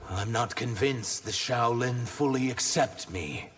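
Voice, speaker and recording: An actor playing an earnest, wounded reptilian humanoid fighter in a video game, gravelly and questioning. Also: male voice, mid-range voice, unhurried, a bit bright, faint music behind, lightly acted. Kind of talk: video game dramatic dialogue. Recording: long echoing hall reverb, faint noise.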